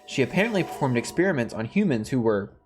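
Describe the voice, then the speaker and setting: A man's voice: medium pitch, big pitch swings, fast talker, a little hiss or hum. A man hosting a gaming video, reading a visual novel's narration aloud and dropping in casual asides. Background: faint music.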